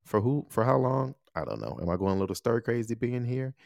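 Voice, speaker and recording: A man's voice: mid-range voice, lively intonation, a little fast, vocal fry, a little mellow, no room sound, clean studio sound. A man in his thirties from the United States, hosting a casual solo podcast and talking things through with lots of "you know" and "right?". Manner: conversational, frequent "you know" and "right?" tags. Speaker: podcast host.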